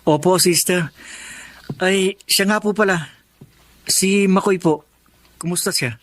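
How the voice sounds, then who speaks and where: Earnest young Filipino man, higher-pitched voice. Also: slow pace, a bit bright. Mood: earnest, warm. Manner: earnest, polite, deferential. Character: hardworking, polite young orphan man seeking work. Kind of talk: Tagalog radio drama acting.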